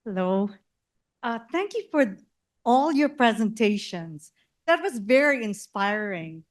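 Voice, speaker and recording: Slow-speaking Filipino woman in audience. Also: a little high, big pitch swings. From Philippines. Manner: polite, measured questioning. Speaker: audience member asking a question. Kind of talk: panel Q&A question. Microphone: handheld microphone passed through the audience.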